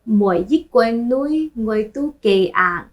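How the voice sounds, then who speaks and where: A woman who grew up speaking Taishanese, hosting a language lesson where she repeats phrases slowly and translates them. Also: fairly high pitch, expressive. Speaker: language teacher and host. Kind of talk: language lesson with film clips.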